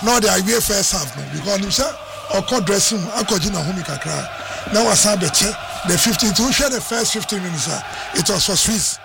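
A Ghanaian football pundit breaks down the match in a gravelly voice that sits fairly high. The broadcast is noisy behind him. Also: male voice, animated, moderate pace. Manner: explaining tactics, mixing Twi and English. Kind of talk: radio match analysis.

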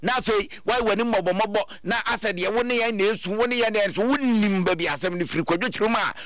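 Ghanaian radio guy, punchy commentary, bright voice, totally dry booth sound. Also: sits high, fast, no echo. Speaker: radio news presenter.

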